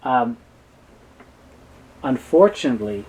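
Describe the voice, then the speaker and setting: A man giving a halting, explanatory technical talk as an expert guest in an interview. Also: medium pitch, big pitch swings, a little mellow, poor audio. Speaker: guest expert on reel-to-reel tape.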